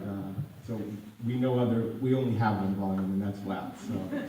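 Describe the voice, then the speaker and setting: A man's voice: mid-range voice, mellow, a little hiss or hum. A man who is losing his voice gives a public talk as an addiction counselor. He often restarts his words and grows emphatic as he goes on. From United States. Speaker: addiction counselor speaking at a community panel. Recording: echoey room audio from far off.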